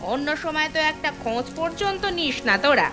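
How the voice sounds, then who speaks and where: A woman voicing a haughty, sharp-tongued elder sister in a cartoon fairy tale, accusing and scornful. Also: sits high, expressive, quick pace, music in the background. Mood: scornful, complaining.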